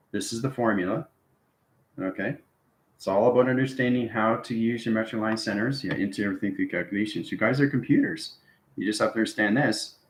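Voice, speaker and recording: A man giving an improvised esoteric lecture to viewers, instructing repetitively and often checking in with short tags like okay and right. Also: fairly low pitch. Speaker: spiritual channel host giving instruction. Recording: roomy, echoing sound.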